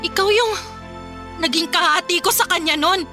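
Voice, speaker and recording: A woman's voice: very high-pitched, normal speed, pushed and bright. A woman acting a hurt, bitter sister in a Filipino radio drama, with emotional, accusing delivery. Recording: music behind the voice.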